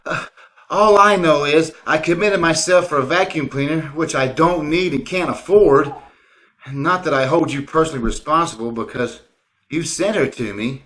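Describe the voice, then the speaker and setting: A man's voice: fairly high pitch, normal speed, a bit bright, lightly acted. A man playing a bashful, awkward teacher with hesitant, stammering delivery in old-time radio comedy style over a video call. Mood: sheepish, baffled.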